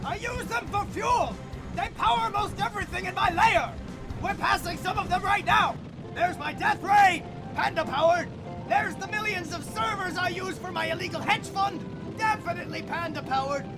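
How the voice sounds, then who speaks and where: A man's voice: very high-pitched, moderate pace, over music, a crowd in the background. A man playing a manic, boastful mad-scientist villain in a comedic audio drama, bombastic and theatrical. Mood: bombastic, commanding.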